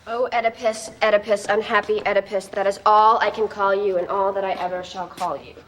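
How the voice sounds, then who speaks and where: A woman's voice: mid-range voice, brisk, lightly acted, rough recording. An actress playing a teenage girl stiffly reciting a chorus part in an amateur Greek tragedy. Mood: solemn, wooden. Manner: monotone, stilted recitation. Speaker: actor in a TV show clip.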